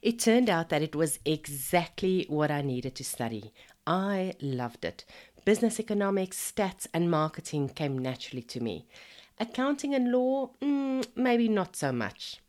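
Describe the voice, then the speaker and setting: A woman in her fifties who is hoarse from a cold, hosting a podcast in a chatty, encouraging way that speaks to the listener as a friend. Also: fairly low pitch, sing-song, measured pace, darkish tone. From Zimbabwe. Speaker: podcast host. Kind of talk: casual motivational podcast monologue.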